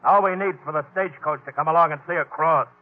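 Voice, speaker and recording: An actor playing a hard, bullying outlaw boss in an old western radio play, curt, commanding and scornful. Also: male voice, a little high, fast, crowd noise, close to an everyday voice. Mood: irritated, commanding. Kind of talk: 1940s-50s western radio acting. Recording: tinny old radio recording.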